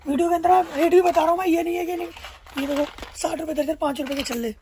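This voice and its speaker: A young man with a high voice runs through his stock at speed, stressing each price and repeating that he sells only in bulk. He is recorded on a handheld phone inside a crowded market shop. Steady chatter and bustle sit clearly behind him. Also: racing, slight background noise. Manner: emphatic, repeats prices and wholesale-only terms. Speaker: wholesale shopkeeper. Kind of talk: rapid sales pitch listing prices.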